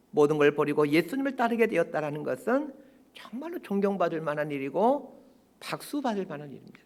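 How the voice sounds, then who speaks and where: High-pitched, animated male pastor preaching. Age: 50s. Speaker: pastor preaching a Sunday sermon. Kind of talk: sermon, prepared and reflective.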